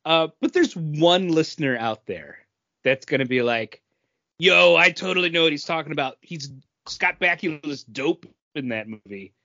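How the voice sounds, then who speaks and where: A man's voice: on the high side, animated, normal speed, vocal fry, sharp tone, no echo, muffled recording. A man co-hosting a podcast, speaking casually in informal conversation. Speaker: podcast co-host. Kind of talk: casual chat.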